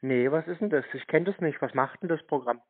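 A man's voice: fairly high pitch, fast talker. A man in a casual phone conversation, giving plain short replies and questions through a narrow phone-like sound. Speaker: scam baiter posing as customer.

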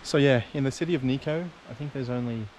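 A man's voice: medium pitch, quick pace. A man vlogging as he hikes outdoors, chatting casually to viewers as he walks along rural roads. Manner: relaxed, chatty, talks to viewers while hiking. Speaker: travel vlogger. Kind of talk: casual walking commentary. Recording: noisy outdoor camera audio.

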